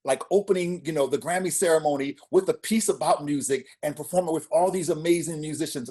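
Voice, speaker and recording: A man in his forties, a spoken word poet, talking casually as an interview guest and telling stories with emphatic rises. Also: fairly high pitch. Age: 40s. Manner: expressive storyteller, emphatic rises.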